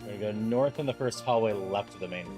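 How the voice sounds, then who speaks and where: A man with a fairly low voice streams a video game, chatting in a relaxed way and slipping into quiet, thoughtful narration. Game music plays clearly behind him. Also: quick pace. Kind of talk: casual gameplay chat and dramatic narration. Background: background music.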